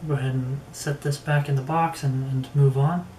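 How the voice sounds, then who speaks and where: A man giving a casual, unhurried product review, haltingly thinking aloud as he goes. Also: mid-range voice, steady tone, dark tone. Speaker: gear reviewer. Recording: roomy echo with faint background noise.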